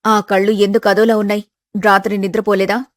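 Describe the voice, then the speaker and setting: A woman's voice: mid-range voice, flat delivery, brisk, dry recording, clean studio sound, lightly acted. Woman as a fretful village mother-in-law. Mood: concerned. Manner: fretful, complaining. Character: worried mother-in-law Lakshmi, weary village matriarch. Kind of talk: dramatized village family story.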